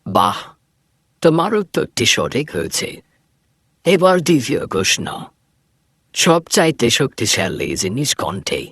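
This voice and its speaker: A man voicing a stern old sage who tests a young woman, with a husky, commanding voice. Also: medium pitch, very animated, measured pace, pauses often, fairly bright tone, no room sound, clean studio sound, exaggerated voice. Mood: solemn. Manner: commanding, testing. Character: stern old male sage, enchanted prince in disguise.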